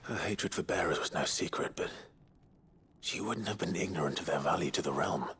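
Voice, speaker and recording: Low, intense, brooding nobleman. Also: a little fast, fairly bright tone, slight background noise. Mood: troubled. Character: brooding, restrained young nobleman warrior. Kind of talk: dramatic video game voice acting.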